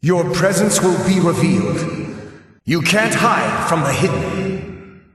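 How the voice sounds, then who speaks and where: Menacing shadow ghost, echoing wildly. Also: male voice, high-pitched, expressive, slow, a bit bright, exaggerated voice. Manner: theatrical, taunting. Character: menacing spectral guardian of darkness, zealous, taunting. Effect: ghostly reverb. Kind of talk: video game combat lines. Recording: echoing reverb, distant.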